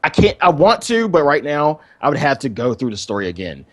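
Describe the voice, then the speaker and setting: A man's voice: on the high side, big pitch swings, a little fast, no room sound. A man co-hosting a gaming podcast in casual, informal chat, repeating himself as he thinks aloud. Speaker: podcast co-host.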